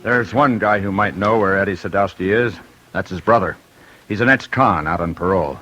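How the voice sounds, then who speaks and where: An actor playing a terse tipster in a 1940s-style radio crime drama. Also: male voice, low-pitched, a little fast, bright, forceful tone, muffled recording. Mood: terse, confiding. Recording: old radio drama, faint music.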